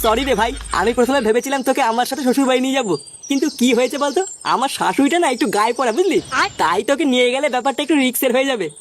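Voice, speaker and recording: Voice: high-pitched, rapid-fire, a little hiss or hum, natural voice. A performer voicing a brash, scornful Bengali village fellow in comic cartoon dialogue, slangy, coarse and needling. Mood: chatty.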